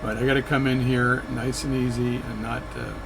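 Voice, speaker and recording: A man's voice: medium pitch, flat delivery, moderate pace, gravelly. Chatty man over air-conditioner hum. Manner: rambling, self-correcting, chatty. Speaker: flight sim video creator. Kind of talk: casual narration while playing a flight sim.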